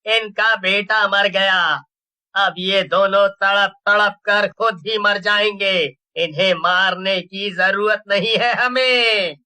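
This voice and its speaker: A man voicing a wronged ghost father in a horror cartoon, aggrieved yet restrained, with a dramatic, deliberate delivery. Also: sits high, steady tone, sharp tone, clean tone, full character voice. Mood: pleading, resigned. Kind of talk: Hindi horror cartoon character voice.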